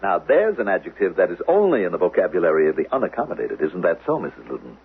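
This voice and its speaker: An actor playing an officious, probing teacher and suspected spy in a 1970s radio drama, formal and needling, with a husky voice. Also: male voice, low voice, big pitch swings, fast talker, exaggerated voice. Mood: insinuating, probing. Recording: narrow, phone-like old radio sound.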